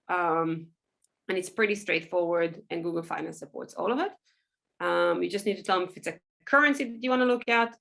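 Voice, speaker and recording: A woman, a Hebrew speaker, giving a loose, chatty software workshop full of ums and small laughs. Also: fairly low pitch, creaky. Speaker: workshop presenter. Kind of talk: casual software tutorial over screen share.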